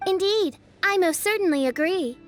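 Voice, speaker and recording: High-voiced, courteous companion. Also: female voice, moderate pace, soft background music. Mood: earnest. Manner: formal, polite, emphatic. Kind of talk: anime video game dialogue.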